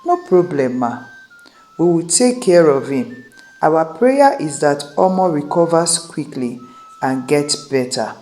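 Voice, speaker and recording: A woman's voice: low-pitched, lively intonation, takes it slowly, dark tone, soft background music. A woman voicing a kind, reassuring older foster mother in a folktale. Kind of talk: acted folktale dialogue.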